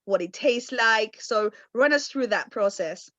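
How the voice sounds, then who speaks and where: A woman's voice: a little high, expressive, unhurried, a bit bright, dry recording. A woman hosting a client interview for a small food company, asking upbeat, leading questions. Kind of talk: friendly scripted interview questions.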